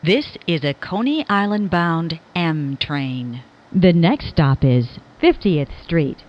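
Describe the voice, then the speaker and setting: A woman reads scripted subway announcements as a recorded train announcer, in a measured, formal, clearly enunciated manner. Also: low-pitched, lively intonation, lo-fi sound.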